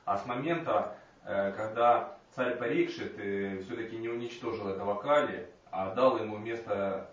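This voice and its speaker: A man in a discussion, calmly objecting and giving his own view. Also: on the low side, moderate pace. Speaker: discussion participant. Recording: distant, very echoey, lo-fi.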